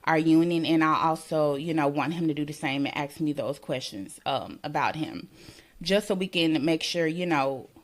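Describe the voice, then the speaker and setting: Woman, low voice, casual podcast guest, reflective, frequent "you know" fillers. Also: normal speed. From Mississippi. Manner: reflective, thinks aloud with many "you know"s. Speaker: podcast guest, working mother. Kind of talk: casual conversation.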